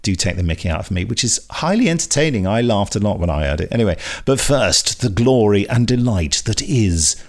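A man's voice: on the low side, expressive, fairly quick, vocal fry, hardly any pauses. A man with a British accent co-hosting a podcast, chatting casually with wry, mock-grand humour. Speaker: podcast co-host. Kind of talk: casual humorous chat.

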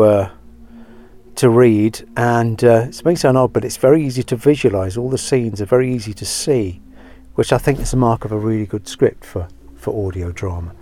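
A man's voice: medium pitch, dark tone. A man being interviewed, answering thoughtfully with hesitations. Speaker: actor interviewed.

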